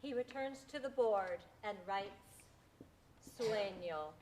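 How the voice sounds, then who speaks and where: A woman's voice: medium pitch, lively intonation, slow pace, darkish tone, a little hiss or hum. A woman reading stage directions from a script for a play.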